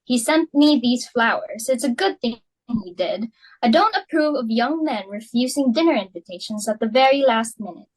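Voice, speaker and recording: A young woman reading the part of an excitable, fashionable society matron hosting a dinner party, fussy and emphatic. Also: fairly high pitch, rough recording. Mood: fussing. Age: young adult. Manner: fussy, emphatic, quick to exclaim. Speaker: reader for Mrs. Pringle. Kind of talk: student dramatic reading.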